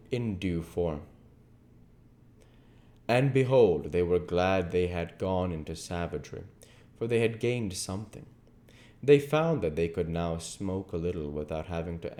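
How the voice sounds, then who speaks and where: A man narrating a classic storybook as a reader, in measured phrases. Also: low-pitched, warm tone. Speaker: audiobook reader and channel host.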